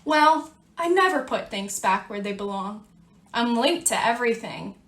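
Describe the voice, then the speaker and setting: A woman reading as a storybook sunflower seed that calls itself bad, in a dramatic, drawn-out confessional manner. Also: on the high side, animated, fairly bright tone, reverberant. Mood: brazen, boastful.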